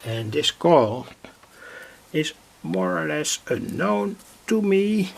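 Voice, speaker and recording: Slow gravelly man at his workbench. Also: a little high, very animated, a little hiss or hum. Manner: rambling, self-correcting, thinking aloud. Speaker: radio hobbyist demonstrating equipment. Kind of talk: casual workbench demonstration.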